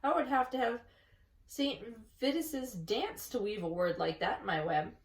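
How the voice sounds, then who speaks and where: A woman voicing a brisk, clever female spider who takes charge in a storybook reading. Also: a little high. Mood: exasperated. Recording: echoey room, distant mic.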